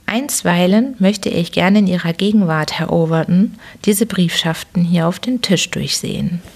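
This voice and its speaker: A woman voicing a calm, incisive detective who reasons in a measured, dryly ironic way in an audiobook. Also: on the low side, husky. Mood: deliberate. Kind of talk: audiobook character reading.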